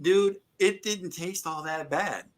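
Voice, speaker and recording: A man's voice: high voice, slow. A man co-hosting a casual chat, telling loose slangy stories and laughing along. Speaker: podcast co-host.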